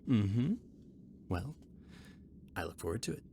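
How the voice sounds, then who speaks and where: Over clearly audible background noise, a shy catboy spaceship mechanic played by a man talks in a low voice with plenty of gravelly fry. He rambles and stammers in a conversational, gently defensive way, as in an intimate roleplay audio drama. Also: sing-song, measured pace. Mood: flustered, bashful. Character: shy, rambling male alien catboy ship mechanic and cook.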